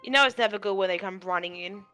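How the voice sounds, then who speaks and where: A woman's voice: mid-range voice, fast, sharp tone, smooth. A woman chatting casually as co-commentator on a game stream, with dry, sweary asides. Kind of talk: casual chat reacting to a game. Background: game music.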